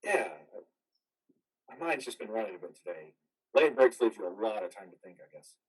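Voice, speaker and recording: A man's voice: lowish voice, animated, normal speed, slightly rough, recorded from a distance, poor audio. A man in a reader's theater drama playing a troubled, haunted army veteran, earnest and hesitant. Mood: weary, evasive.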